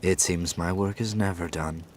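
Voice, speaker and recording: A man playing a low-voiced domineering beastly lord. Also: steady tone, normal speed, a little hiss or hum, natural voice. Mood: brusque. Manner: curt, commanding, formal old-fashioned phrasing. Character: domineering, menacing lord, a brutish beastly husband.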